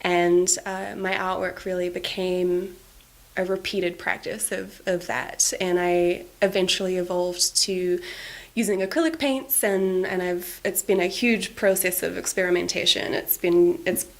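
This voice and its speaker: Creaky-voiced woman. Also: on the low side, fairly even intonation, unhurried. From New Zealand. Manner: earnest, restarts phrases, laughs easily. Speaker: artist guest. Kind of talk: casual conversation.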